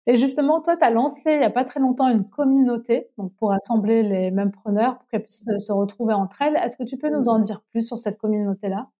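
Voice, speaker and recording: A woman's voice: fairly high pitch, fairly quick, hardly any pauses, dark tone. A woman hosting a podcast, asking her guest questions in a casual way and stumbling a little as she frames them. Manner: hesitant, searching for words while framing questions. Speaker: podcast host.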